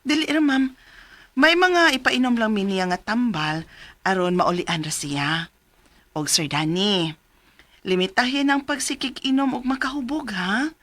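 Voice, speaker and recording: An actress with a Cebuano accent playing a calm, professional doctor who explains and advises in a Philippine radio drama. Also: female voice, on the high side, a little slow, pauses often, no echo. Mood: advising.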